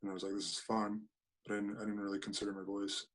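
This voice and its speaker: Deep-voiced easygoing young man. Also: monotone, fast talker, a bit bright. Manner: easygoing, hesitant, repeats words. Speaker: podcast guest, punk band singer. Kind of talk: casual chat.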